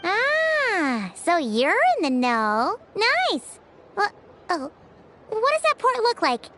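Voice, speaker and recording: A woman voicing a small, excitable, girlish fairy companion in a video game, chatty, whiny and theatrical. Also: very high voice, takes it slowly, a little hiss or hum, exaggerated voice. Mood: inquisitive.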